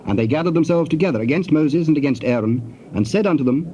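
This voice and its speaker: A man narrating dramatized scripture in a formal, measured storytelling manner. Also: on the high side, animated, mellow. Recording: muffled, faintly hissy tape.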